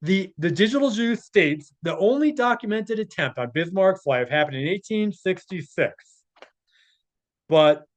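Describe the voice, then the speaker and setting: Man, somewhat high voice, casual read-and-comment delivery, sarcastic, scornful asides. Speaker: commentator, presenter. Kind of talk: casual spoken commentary while reading aloud from a text.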